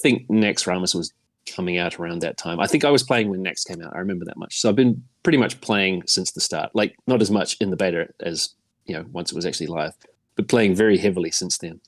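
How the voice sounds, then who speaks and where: A man's voice: sits low, fast talker, no room sound. A middle-aged man with a New Zealand accent chatting casually as a podcast guest, in a rambling, self-deprecating way. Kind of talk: casual chat.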